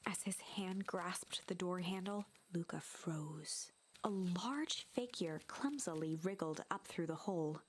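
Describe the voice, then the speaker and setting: A woman narrating a video game like a storybook, in a measured, dramatic way. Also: on the low side, background noise, close to an everyday voice.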